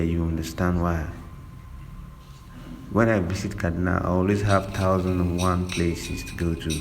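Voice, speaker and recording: A young Nigerian man hosting a podcast, telling his own life story in a loose, slangy, reflective way. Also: low-pitched. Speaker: podcast host. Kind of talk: personal storytelling monologue.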